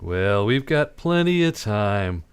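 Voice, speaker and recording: An actor playing a tough, cynical private detective in a radio play, with clipped, sardonic delivery and a clear voice. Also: male voice, on the low side, big pitch swings, slow, heavily acted. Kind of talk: old-time radio play acting.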